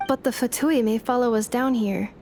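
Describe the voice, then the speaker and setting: A woman's voice: on the high side, steady tone, moderate pace, a little mellow, slight background noise, lightly acted. An actress playing an earnest young female dragon lord in a video game, hesitant and questioning. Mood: uncertain, questioning. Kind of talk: scripted video game voice acting.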